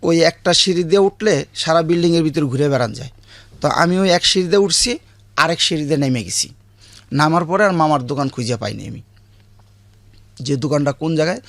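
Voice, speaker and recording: Creaky higher-voiced Bangladeshi man in his fifties. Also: lively intonation, brisk, fairly bright tone, no room sound. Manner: plain, colloquial, repetitive. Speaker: guest telling his life story. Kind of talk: personal storytelling in an interview.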